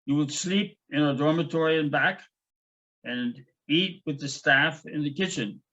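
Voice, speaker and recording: A man's voice: a little high, a little slow, fairly bright tone. A man voicing a stern German woman hotel manager who talks in a clipped, vaguely menacing way. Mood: cold, clipped. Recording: dull lo-fi recording.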